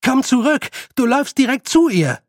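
Man voicing a frantic stammering boy, pitched high. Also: normal speed, husky, no room sound, studio quality. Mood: anxious, insistent. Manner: panting, anxious, pleading. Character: frightened, stammering boy, urgent and breathless.

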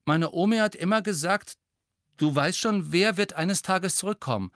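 A man's voice: high voice, moderate pace, a bit bright, dry recording, clean studio sound, voice pushed up. A man voicing an earnest, loyal schoolboy in an audiobook, speaking simply and plainly. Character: plain-spoken, earnest, loyal schoolboy who trusts his granny. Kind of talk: audiobook character voice.

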